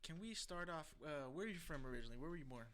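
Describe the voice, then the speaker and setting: A man hosting a podcast interview, asking easygoing questions and backing the guest up with quick agreement. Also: fairly high pitch, noisy background. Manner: relaxed, encouraging, quick affirmations. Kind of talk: casual interview chat.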